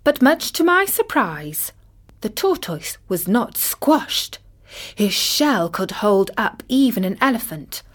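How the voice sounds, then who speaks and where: A wry old storybook vulture, played by a woman, telling a fable at a slow pace. The delivery is measured and dramatic, with a knowing air. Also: on the high side, very animated, voice pushed up, full character voice. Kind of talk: scripted storytelling in character.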